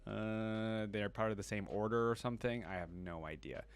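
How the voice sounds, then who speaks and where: A man's voice: fairly low pitch, steady tone, moderate pace, clean tone. A man giving casual, curious reaction commentary as the host of a video, often interjecting short remarks.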